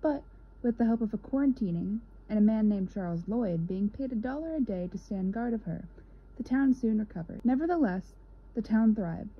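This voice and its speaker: A woman's voice: medium pitch, slightly rough. A young woman, a student narrator, reading a scripted history piece. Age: young adult. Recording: dull lo-fi recording.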